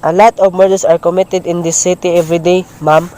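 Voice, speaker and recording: A dismissive police desk officer played by a man with a fairly high voice. He sounds matter-of-fact and patronizing, placating the caller without hurry, in amateur radio play style. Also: level tone, a little fast, warm tone, a little hiss or hum, natural voice.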